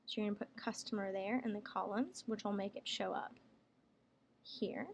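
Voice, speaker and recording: A woman working as a software trainer, explaining each step plainly in a casual screen tutorial. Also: medium pitch, steady tone, moderate pace. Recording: clear background noise, room ambience.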